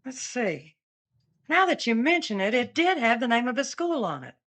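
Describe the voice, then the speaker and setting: A chatty young woman eyewitness, played by an actress in a comic radio play, her high voice swooping up and down as she rambles. She delivers odd turns with a dry deadpan. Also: moderate pace. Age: 20s. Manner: chatty, meandering, with an offbeat deadpan. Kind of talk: comic radio drama acting.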